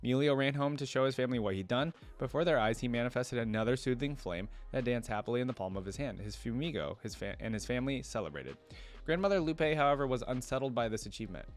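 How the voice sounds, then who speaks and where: A man's voice: medium pitch, expressive, fast talker, faint music behind. A man hosting a reaction video, reading story text aloud and commenting in an informal, rambling, conversational way. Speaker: YouTube reaction video host.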